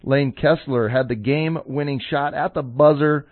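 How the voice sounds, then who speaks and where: A man's voice: on the high side, steady tone, moderate pace, dry recording. Easygoing male radio co-host from Colorado. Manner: easygoing, reads scores with enthusiasm. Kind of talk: casual sports radio chat. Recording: dry, phone-like narrow sound.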